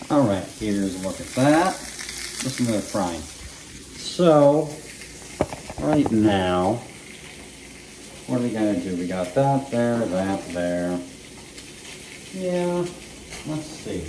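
A man casually narrating while he cooks in a small home kitchen, rambling and self-deprecating, recorded on a camera set up across the room with frying sizzling behind him. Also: lowish voice. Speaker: home cooking vlogger. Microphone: camera mic set up across the kitchen. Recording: echoey kitchen, faint frying noise.

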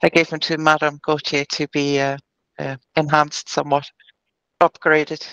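A woman plays in an online tabletop game with a very clear, bright voice. She talks slowly and haltingly, and the sound is close and dry. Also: low voice, level tone, no room sound, highs cut off. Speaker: tabletop roleplay player. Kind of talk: casual in-character introduction. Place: indoors on a video call.

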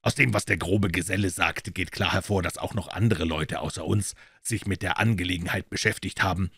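A male reader as a courteous audiobook detective. The voice is fairly low and close and dry, measured, polite and quietly self-assured. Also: vocal fry, husky, no echo, full character voice. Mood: confident.

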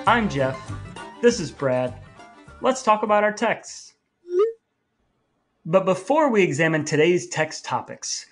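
A man co-hosting a podcast in a chatty style, with a wry, mock-formal manner. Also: sits high. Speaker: podcast co-host.

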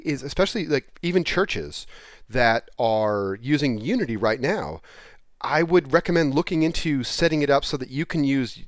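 A man with a medium-pitched voice talks through a how-to off the cuff. His delivery is informal and plain-spoken. The headset recording is dry, with very little room around the voice. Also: dry recording. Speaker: presenter of a software how-to. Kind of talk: casual off-the-cuff tutorial talk. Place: small indoor room, plain wall. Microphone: headset microphone.

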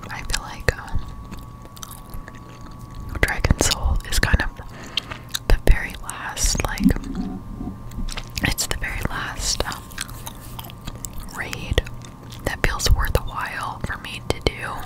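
A woman's voice: takes it slowly, long pauses, low-quality recording. A woman whispering casual ASMR game commentary close to the microphone while chewing gum. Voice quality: unvoiced whisper. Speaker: streamer playing a video game. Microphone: close-up desktop studio microphone.

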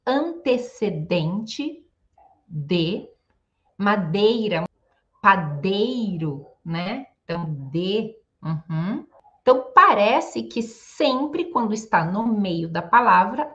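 Slow, patient São Paulo woman teacher. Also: mid-range voice, muffled recording. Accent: São Paulo Brazilian Portuguese. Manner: patient, repeats each sound slowly with tag questions. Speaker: Portuguese teacher. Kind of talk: online pronunciation lesson. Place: home room, indoors.

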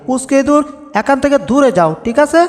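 High-pitched whiny cartoon tomcat, reverberant. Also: male voice, expressive, fairly quick. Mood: bossy, annoyed. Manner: exaggerated complaining, self-narrating. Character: hot-headed comic male cartoon cat, whiny and bossy. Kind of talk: Bengali comic cartoon dub. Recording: long reverb over background music.

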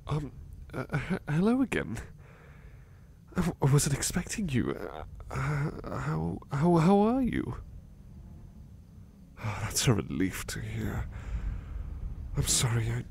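A man playing a gentle, anxious, sleepy butler in a soft-spoken ASMR roleplay, hesitant and apologetic as he stammers. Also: mid-range voice, huge pitch swings, slow pace, vocal fry, pauses often, breathy edge, full character voice. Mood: sleepy, flustered. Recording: faint background noise.

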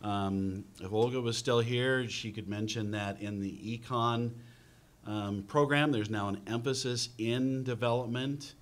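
A man's voice: mid-range voice, a little slow. A middle-aged man speaking as a panelist in a university lecture room, earnest and thinking aloud, into a table microphone. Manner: earnest, repeats words while thinking aloud. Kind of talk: panel discussion. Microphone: table mic on stand.

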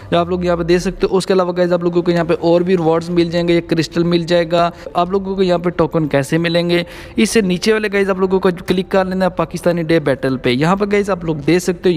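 Guy doing a gaming tutorial voiceover, kinda high voice, explains stuff to the viewers. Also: a little mellow. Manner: explanatory, repeatedly addressing viewers as guys. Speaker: gaming channel host.

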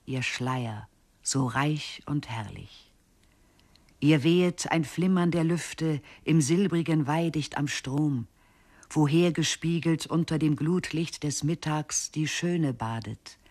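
A man reciting verse in a lyrical, declamatory manner. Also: on the high side. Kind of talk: poetry recitation.

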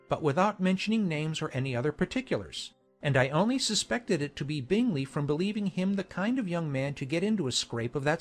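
A woman voicing a genial, formal gentleman colonel in a measured, understated way for a dramatized audiobook. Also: deep voice. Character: genial gentlemanly English colonel, adult man, lightly jesting. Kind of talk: dramatized audiobook character dialogue.

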